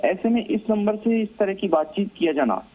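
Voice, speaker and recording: An Indian man reporting live by telephone as a news correspondent, analysing events in deliberate, halting phrases. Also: high voice. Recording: narrow, tinny phone line.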